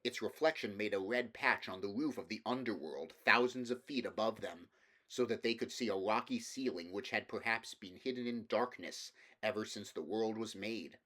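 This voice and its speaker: Man, fairly low pitch, amateur audiobook reader, scripted fantasy narration. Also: fairly bright tone.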